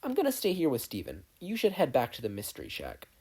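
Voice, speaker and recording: A narrator voicing a protective, steady young woman who talks in a firm, direct, reassuring way. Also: medium pitch, quick pace, slightly rough.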